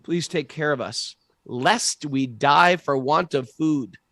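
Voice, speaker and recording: Hungry citizens pleading with a king, as a man reads them, gravelly, dry room. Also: fairly high pitch, slow, a bit bright, no room sound, heavily acted. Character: starving citizens begging their king.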